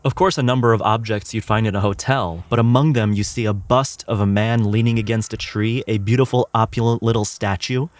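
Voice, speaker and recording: A man narrating as game master in a tabletop game, describing monsters and results calmly. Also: on the low side, fairly quick, few pauses, dry recording.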